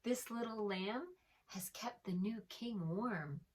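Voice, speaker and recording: A woman playing a gentle young mother in a storybook, speaking softly and soothingly. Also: medium pitch, takes it slowly. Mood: tender, calm. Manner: soft, soothing.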